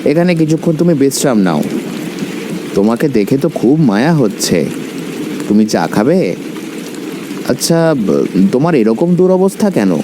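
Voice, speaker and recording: A man's voice: medium pitch, very animated, unhurried, pauses often, noisy background. A man playing a kindly, fatherly village tea seller in a cartoon story, concerned and soothing, with music behind. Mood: welcoming, concerned.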